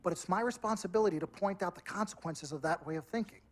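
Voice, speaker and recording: A man's voice: high voice, fast talker. A man playing a pushy, persuasive sports agent in a game cutscene, speaking in emphatic, speechifying style. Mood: earnest, persuasive. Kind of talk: scripted game cutscene acting.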